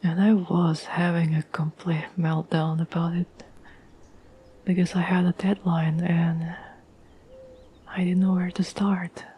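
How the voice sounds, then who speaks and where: A woman acts out a caring, gentle girlfriend in an intimate ASMR audio roleplay. Her voice is a breathy, whispery murmur, slightly low and very even in pitch. She takes her time, pausing thoughtfully, over faint background noise. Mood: warm, grateful. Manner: gentle, unhurried, halting with thoughtful pauses. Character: caring, tender girlfriend reassuring her troubled partner.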